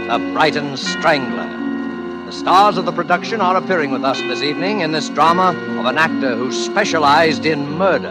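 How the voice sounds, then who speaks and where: Loud music surrounds a man hosting a 1940s radio thriller. His somewhat high-set voice is formal, dramatic and ominous, in a scripted announcer's delivery. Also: rough recording. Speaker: host and narrator of a radio suspense show.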